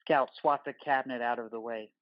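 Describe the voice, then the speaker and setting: A man reading scripted stage directions for an audio drama as narrator, in deliberate, dramatic short phrases. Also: mid-range voice, steady tone, no echo, highs cut off.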